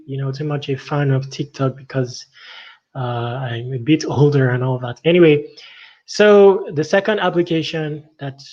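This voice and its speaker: Man with halting delivery, dull lo-fi sound. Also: on the high side, darkish tone. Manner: halting, rambling, frequent verbal tags. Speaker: livestream host. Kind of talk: casual tech news commentary to viewers.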